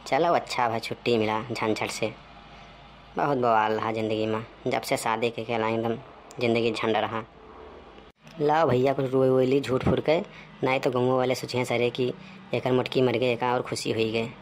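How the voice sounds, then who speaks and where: A man's voice: mid-range voice, fairly even intonation, moderate pace, slight background noise, lightly acted. A man playing a grumbling, melodramatic village husband in a cartoon comedy, with theatrical, exclamatory Awadhi talk. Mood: relieved.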